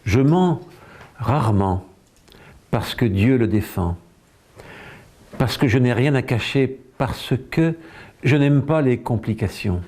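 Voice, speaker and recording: A man reading poetry aloud in a measured way, in short phrases separated by pauses. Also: mid-range voice, animated, a little mellow. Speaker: poetry reader. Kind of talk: quiet poetry recitation.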